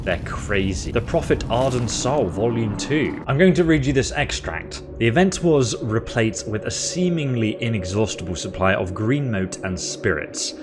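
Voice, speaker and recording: A man's voice: medium pitch, expressive, moderate pace, hardly any pauses, noisy background. A man hosting a game playthrough with casual commentary and a playful, mock-dramatic manner. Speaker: gaming video host. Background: game soundtrack.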